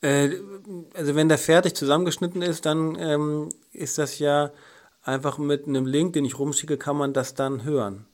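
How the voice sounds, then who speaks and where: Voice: mid-range voice, fairly even intonation, moderate pace. A podcast host asking casual interview questions, hesitant and full of fillers.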